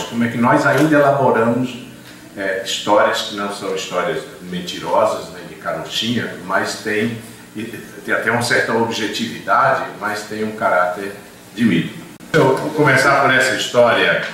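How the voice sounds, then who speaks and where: A man's voice: fairly low pitch, fairly bright tone, far from the mic, a little hiss or hum. Older male professor lecturing in an echoey room. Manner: discursive, many hesitations and restarts. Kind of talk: university lecture. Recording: echoey classroom recorded from afar.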